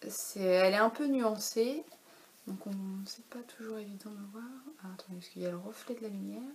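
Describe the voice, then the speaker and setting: A woman's voice: medium pitch, brisk. A woman in her thirties, a knitwear designer, chatting casually at home about her knitting patterns, with frequent hesitations and self-corrections. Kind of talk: casual knitting podcast chat. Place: home, in daylight.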